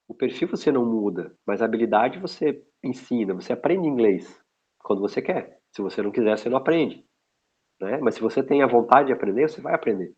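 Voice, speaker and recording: A man's voice: mid-range voice, darkish tone. A man from São Paulo with a São Paulo accent, talking as a guest in a casual online interview, rambling and restarting often. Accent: São Paulo Portuguese. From São Paulo, Brazil. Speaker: guest, logistics specialist. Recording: muffled lo-fi sound.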